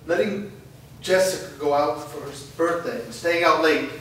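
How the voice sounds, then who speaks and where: A man's voice: fairly high pitch, moderate pace, fairly bright tone, close to an everyday voice. A man playing a wary, strict, reticent father in a staged screenplay reading. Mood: uneasy, withholding. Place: live reading in a reverberant room. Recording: echoey noisy room, distant microphone.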